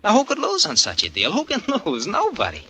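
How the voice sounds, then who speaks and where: An actor playing a scheming grown son in a 1940s radio drama, wheedling and slangy, tagging lines with huh and no. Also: male voice, high voice, huge pitch swings, fairly quick, pushed and bright, slightly rough, exaggerated voice. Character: slick, scheming, pushy grown son, small-time hustler. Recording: noisy old radio broadcast recording.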